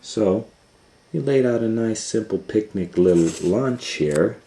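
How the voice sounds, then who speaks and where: A man's voice: fairly low pitch, animated, unhurried, warm tone, poor audio. A man reading a children's storybook aloud as a storytime host, retelling it informally with musing asides. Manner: improvised asides and musing hums.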